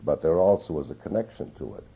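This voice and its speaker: An older man recalling his childhood in an oral history interview, speaking slowly and reflectively with many hesitations, on an old tape recording. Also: a bass voice, animated, creaky, breathy edge. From Newton, Massachusetts. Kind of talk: reminiscing in an interview. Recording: dull lo-fi 1981 tape.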